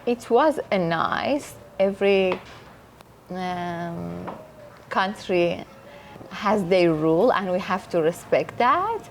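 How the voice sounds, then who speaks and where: A woman's voice: lowish voice, lively intonation, slow pace, soft background music. A woman from Iraq who speaks simple English and often laughs while answering interview questions. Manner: simple non-native English, frequent laughter. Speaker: interview guest.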